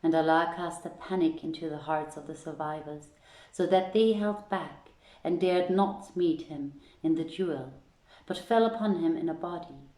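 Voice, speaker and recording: A woman reading aloud as a storyteller, formal and theatrical, with measured delivery. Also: low voice, mellow. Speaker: host and reader of a literary reading series. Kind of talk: greeting, then dramatic storybook reading. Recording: echoey room sound.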